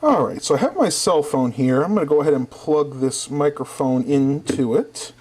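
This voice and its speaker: A man's voice: a little high, animated, moderate pace, darkish tone, breathy edge. A man reviewing a product in a casual hands-on demonstration, explaining things methodically step by step.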